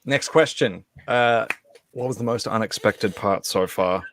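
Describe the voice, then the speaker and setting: A man's voice: lowish voice, a little slow, a bit bright, a little hiss or hum. A man hosting a casual panel discussion and putting questions to the group. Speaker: host reading audience questions.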